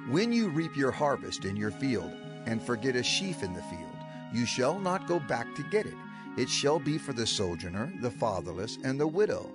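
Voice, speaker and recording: A man's voice: mid-range voice, close to an everyday voice. A man voicing a solemn old lawgiver. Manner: measured, solemn declamation. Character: aged male lawgiver, solemn and commanding. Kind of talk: dramatized scripture reading. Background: background music.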